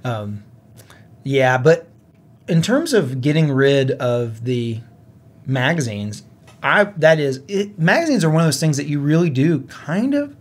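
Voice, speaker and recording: A man's voice: fairly high pitch, sing-song, measured pace. A man co-hosting a gaming podcast, talking in a casual, conversational style. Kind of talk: casual chat.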